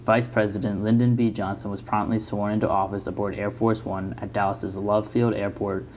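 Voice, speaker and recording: Male newsreel narrator, flat delivery on the low side, slight background noise. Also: normal speed.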